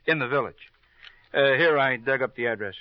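An actor playing a chatty, plodding, good-natured police officer with a rambling, hesitant way of talking, in an old radio crime drama. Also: male voice, medium pitch, a little fast, dry recording, natural voice. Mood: plodding, earnest. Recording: muffled old radio recording.

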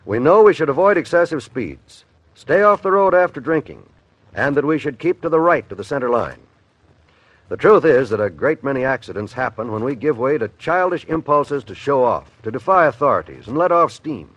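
A male radio announcer reading a scripted public safety announcement in an earnest, measured manner. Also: mid-range voice, fairly bright tone, no echo. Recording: dull old radio recording.

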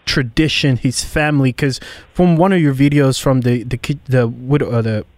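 A man interviewing a guest as a podcast host, asking casual, halting questions that tend to ramble. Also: mid-range voice, no room sound.

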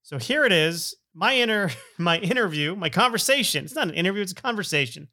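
A man's voice: sits high, animated, a little fast, sharp tone. A middle-aged man hosting a podcast, rambling and joking, often laughing and mocking himself. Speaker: podcast host. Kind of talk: casual rambling intro.

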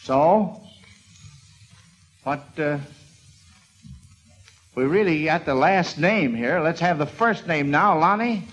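A man's voice: a little high, animated, slow, long pauses, fairly bright tone. A man hosting an old-time radio quiz for children, speaking with hesitations in a vintage broadcast recording. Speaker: quiz show host.

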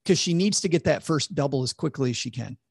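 Gravelly man explaining money matters. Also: a little high, animated, fast talker, no room sound, clean studio sound. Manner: earnest, explains with frequent stammered restarts. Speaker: financial advisor guest. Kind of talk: conversational podcast interview.

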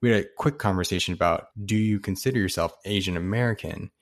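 Low-voiced casual male host. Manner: casual, loose, halting phrasing. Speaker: podcast host. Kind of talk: casual conversation.

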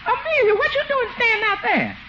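A woman's voice: very high-pitched, wildly swooping pitch, fairly quick, sharp tone, full character voice. An actress playing an exuberant, good-hearted female household cook in a 1940s radio comedy. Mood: cheerful, bustling. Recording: very noisy, muffled old radio recording.

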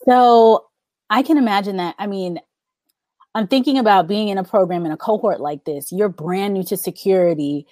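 A woman's voice: medium pitch, moderate pace, darkish tone, no echo. A woman hosting a podcast, asking curious and encouraging questions. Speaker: podcast host. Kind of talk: interviewing a guest.

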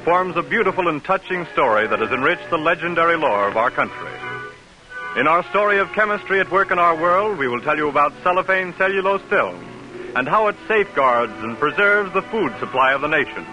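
A man announcing and narrating in a formal, measured 1940s radio style. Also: a little high, lively intonation, highs cut off. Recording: old radio broadcast with music.

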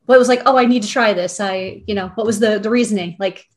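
A woman's voice: medium pitch, fast. A woman interviewer asking rambling, filler-laden questions in casual chat. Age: adult.

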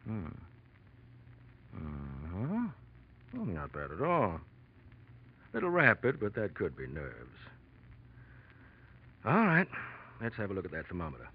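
An actor playing an affable, gently bossy ship's doctor in a 1940s radio drama, chatty and unhurried. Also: male voice, low-pitched, wildly swooping pitch, slightly rough, full character voice. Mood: amused, musing. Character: affable, talkative male ship's doctor, quietly insistent. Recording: dull old radio recording.

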